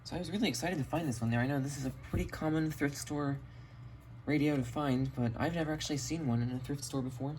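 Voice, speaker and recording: Relaxed matter of fact guy doing show and tell on his phone in a basement, bit of noise behind. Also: fairly high pitch, fast talker, low-quality recording. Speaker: radio collector presenting finds. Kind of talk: casual show-and-tell vlog. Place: home basement. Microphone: cell phone. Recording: audible background noise, phone recording.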